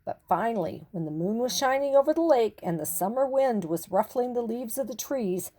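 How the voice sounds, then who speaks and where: An older woman narrating a children's picture book expressively, with deliberate dramatic emphasis and pauses. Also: a little high, lively intonation, mellow. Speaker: storyteller reading to children.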